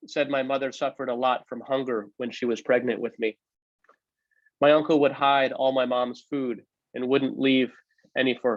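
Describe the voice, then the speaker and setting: Male reader, mid-pitched, for oral history narration in measured phrases; dry, slightly dull recording. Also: no room sound. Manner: measured phrase-by-phrase reading, occasional slips. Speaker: book co-editor reading aloud.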